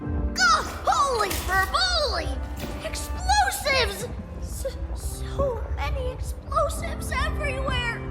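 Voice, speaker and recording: A woman voicing an excitable, easily flustered young girl in an animated video game style. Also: very high-pitched, takes it slowly, big-room sound, over music, heavily acted. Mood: frantic excitement.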